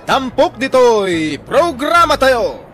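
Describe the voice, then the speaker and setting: An Ilocano man announcing a radio drama in deliberate, emphatic phrases. His voice is high and swooping, delivered slowly over music. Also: sing-song, a bit bright, a faint crowd.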